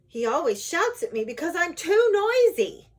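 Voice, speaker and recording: A woman's voice: sits high, sing-song, takes it slowly, pitched above the performer's own voice, heavily acted. A woman voicing small, comic, boisterous bearded dwarf men in a storybook tale. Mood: complaining. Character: band of six small bearded male dwarves, boisterous, comic.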